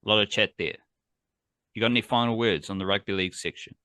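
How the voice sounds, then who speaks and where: A man's voice: lowish voice, steady tone, quick pace, sharp tone, smooth, no room sound. A man hosting a casual sports podcast, rambling enthusiastically and correcting himself as he goes. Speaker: podcast host.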